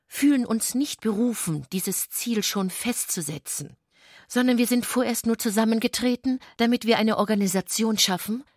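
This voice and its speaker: A woman with a husky voice delivering formal, measured literary narration for a radio play in a studio. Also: medium pitch, darkish tone, no echo. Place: dry studio.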